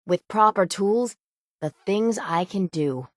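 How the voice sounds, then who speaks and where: A woman voicing a gruff, stubborn, hard-bitten shipwright in a video game, with salty, plainspoken seafaring talk. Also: medium pitch, animated, slow, no echo, clean studio sound. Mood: proud. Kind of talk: video game character dialogue.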